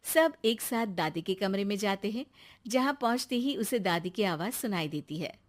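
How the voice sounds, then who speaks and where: A woman's voice: medium pitch, animated, normal speed. A woman reading scripted storytelling narration as the narrator of a tale.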